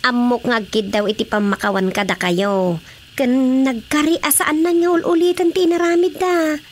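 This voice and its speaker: Radio drama daughter woman performer: high voice, Ilocano accent, concerned peacemaker, ambient noise behind. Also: moderate pace, close to an everyday voice. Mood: pleading. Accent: Ilocano Filipino. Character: concerned young daughter, earnest peacemaker between her parents.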